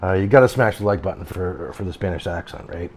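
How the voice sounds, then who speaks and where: A middle-aged man casually reviewing a product at home and on his deck, rambling, often breaking off mid-sentence and getting excited about what he finds. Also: sits low, fast talker, background noise. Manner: rambling, self-interrupting, easily excited. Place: home, later outdoors on a deck.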